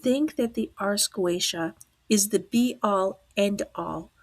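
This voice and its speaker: A woman hosting a podcast in slow, deliberate speech, hoarse from losing her voice and dropping to a whisper at times. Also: medium pitch. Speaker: podcast host. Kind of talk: slow, deliberate talk on the occult.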